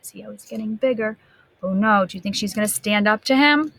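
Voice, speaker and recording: A woman's voice: mid-range voice, creaky, a little hiss or hum. A woman teacher reading a picture book aloud to young children expressively, stopping to put questions to her listeners. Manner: expressive, dramatic gasps and emphatic drawn-out words.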